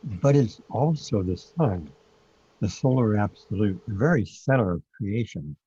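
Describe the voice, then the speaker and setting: Man playing a slow, husky, wry guide. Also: lowish voice, animated, dark tone, muffled recording. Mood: wry, calm. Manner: unhurried, matter-of-fact. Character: calm, wry metaphysical guide in a surreal theater. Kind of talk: radio play acting.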